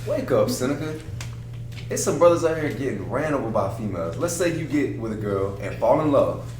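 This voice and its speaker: A man's voice: medium pitch, sing-song, a little fast, lightly acted. A man playing a frank, earnest, streetwise friend with slangy speech in a scripted table read. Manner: colloquial, slangy. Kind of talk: scripted dialogue read aloud. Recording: echoey room, distant mic, faint noise.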